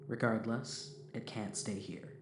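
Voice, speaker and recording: A man playing a calm, polite robot crew member in a science fiction audio drama, speaking formally and deliberately. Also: fairly low pitch, natural voice. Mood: firm. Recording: roomy echo, faint noise.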